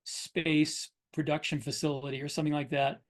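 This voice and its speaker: A man's voice: fairly high pitch, little pitch movement, normal speed. A man chatting casually about his craft as a podcast host and writer. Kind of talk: casual shop talk.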